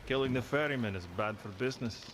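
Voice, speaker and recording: A gruff, unflappable ferryman, played by a man, in a mid-pitched voice. He is blunt and sardonic, with clear water and boat noise around him, as in an audio game. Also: fast, close to an everyday voice. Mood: wary, matter-of-fact. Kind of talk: video game voice acting.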